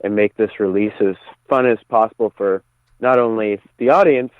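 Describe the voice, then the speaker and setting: A man's voice: lowish voice, moderate pace, no echo. A man giving a casual, conversational radio interview over the phone, speaking a bit hesitantly. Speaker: band singer, phone-in guest. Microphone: phone call. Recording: tinny narrow phone line.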